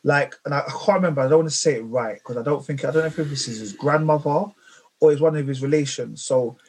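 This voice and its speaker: Guy chatting with mates, a bit husky, kinda higher voice, says bruv a lot. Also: fast. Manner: animated, slangy, keeps saying bruv. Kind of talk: casual group chat.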